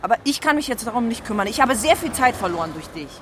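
An actress playing a brusque, bossy motorist in a radio drama, speaking in an assertive, insistent way. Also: female voice, a little high, very animated, quick pace, background noise. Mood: brusque, concerned. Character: brusque, bossy female motorist with a concerned streak. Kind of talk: naturalistic radio drama dialogue.

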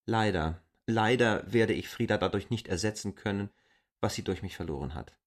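An actor playing an earnest, stubborn land surveyor, speaking in a measured, formal, insistent way in a literary radio drama. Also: male voice, on the low side, natural voice.

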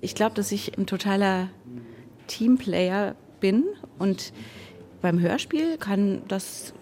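A woman's voice: mid-range voice, slow pace, a little mellow. A woman radio drama director giving a reflective interview, thinking aloud and correcting herself as she goes. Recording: clearly noisy background.

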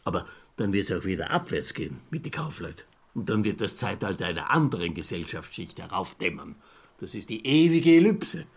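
An actor playing a garrulous Viennese newspaper editor and amateur philosopher in a radio drama, lecturing pompously in an Austrian accent with a husky voice. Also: male voice, low-pitched, big pitch swings, fairly quick, exaggerated voice. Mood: grandly prophetic. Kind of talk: literary radio drama dialogue.

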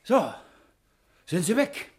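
An actor playing a hot-headed, jealous husband in a German radio drama, agitated and blustering. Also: male voice, high-pitched, sing-song, slow, exaggerated voice. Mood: angry, threatening.

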